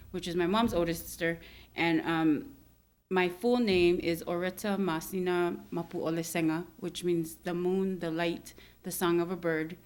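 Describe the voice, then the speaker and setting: A soft-spoken woman in her thirties from Hawaii, a guest on a podcast. Her voice sits on the low side and sounds smooth and resonant. She talks thoughtfully, at ease, with light laughs. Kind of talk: casual interview chat.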